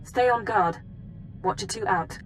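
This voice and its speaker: An actress playing a brisk, composed intelligence officer in a video game, speaking in a formal, clipped and matter-of-fact way. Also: female voice, medium pitch, loud music behind the voice, close to an everyday voice, poor audio. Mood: brisk, businesslike. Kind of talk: video game dialogue, mission briefing.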